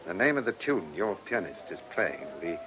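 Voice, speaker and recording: An actor playing a shrewd, persistent chief inspector in a radio play, with an English accent and a dry, probing manner. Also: male voice, low voice, big pitch swings, moderate pace, over music. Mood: confident, confronting. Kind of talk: radio drama acting.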